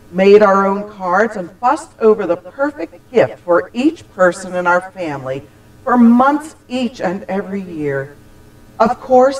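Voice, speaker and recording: A woman telling a scripted personal holiday story, with deliberate, expressive, dramatic phrasing. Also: mid-range voice, rough recording. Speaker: church storyteller.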